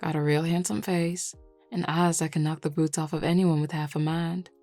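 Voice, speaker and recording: A woman playing a flirty, teasing male werewolf with a Southern US accent and a playful, unhurried drawl in an audio roleplay. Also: sits low, fairly even intonation, warm tone, no echo, faint music behind. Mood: sincere, flirty.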